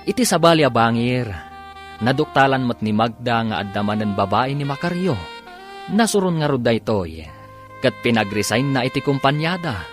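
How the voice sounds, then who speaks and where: A man narrating an Ilocano radio drama as its announcer, in a theatrical scripted style with drawn-out titles. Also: mid-range voice, animated, faint music behind.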